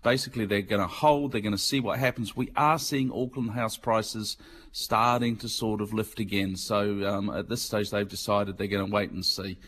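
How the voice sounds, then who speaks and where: A man's voice: on the low side, fairly even intonation, moderate pace, a bit bright. Chatty Mancunian man with faint hum. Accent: Mancunian English. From England. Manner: chatty, explains in plain words, many ums. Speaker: financial markets commentator. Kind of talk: radio business segment.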